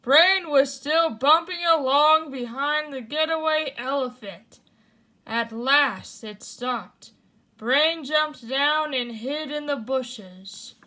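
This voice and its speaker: Slow, bright-voiced woman reading a bedtime story. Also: sits high. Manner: slow, animated, comments between passages. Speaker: storyteller host.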